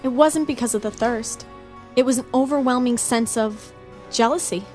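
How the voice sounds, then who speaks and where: A woman's voice: a little high, moderate pace, darkish tone, soft background music, lightly acted. An actress playing an anguished, remorseful woman turned killer in a motion comic, with a confessional, pleading delivery. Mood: bitter, confessing. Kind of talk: dramatic motion comic acting.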